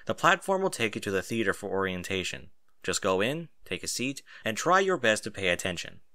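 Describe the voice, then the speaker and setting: Male reader as military captain, low voice, fatherly, serious, measured, instructive, dry storybook recording. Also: big pitch swings, bright, forceful tone, no echo, full character voice. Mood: businesslike.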